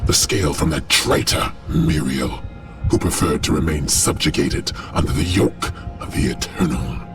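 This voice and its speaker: A male actor voicing a menacing, seductive dragon lord in a fantasy game, theatrical and taunting, with a gravelly voice. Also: very deep voice, normal speed. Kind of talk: dramatic fantasy game villain acting. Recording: loud music under the voice.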